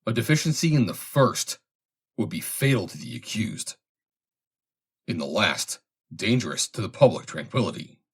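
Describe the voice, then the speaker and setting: A man reading a formal historical essay aloud in a measured, deliberate way. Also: lowish voice, big pitch swings, breathy edge. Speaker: reader, narrator.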